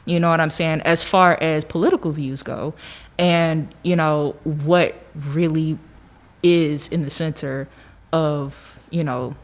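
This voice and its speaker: A young American woman reflecting in casual conversation, colloquial and animated, acting out her own reactions as she talks. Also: low-pitched, slow. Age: young adult.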